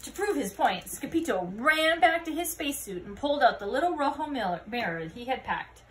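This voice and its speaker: A woman reading a children's picture book aloud expressively, pausing to put questions to young listeners. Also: high voice, sing-song, a bit bright. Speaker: read-aloud storyteller.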